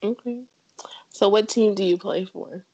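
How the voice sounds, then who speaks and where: A woman's voice: mid-range voice, measured pace, dark tone, highs cut off. A woman hosting a podcast, asking questions in a playful, teasing, upbeat way. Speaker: podcast host. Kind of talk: casual interview chat.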